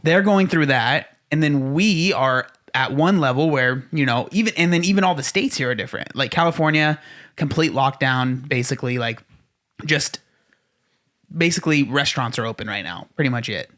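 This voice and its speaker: A man's voice: medium pitch, normal speed, a bit bright. A young man co-hosting a casual podcast, talking in loose rambling sentences full of "like" fillers. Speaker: podcast co-host. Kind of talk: casual chat.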